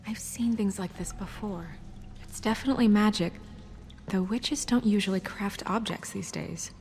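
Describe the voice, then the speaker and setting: A serious young woman of authority in a video game played by an actress, with a mid-pitched voice. She is businesslike and guarded, with worry under the composure. Music runs underneath. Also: normal speed, a little mellow, natural voice. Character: serious, composed, worried young female official investigating.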